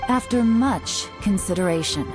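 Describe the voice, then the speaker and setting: A woman playing a composed, authoritative young noblewoman in an anime game, speaking in a measured, formal manner. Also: medium pitch, animated, lightly acted. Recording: game music behind the voice.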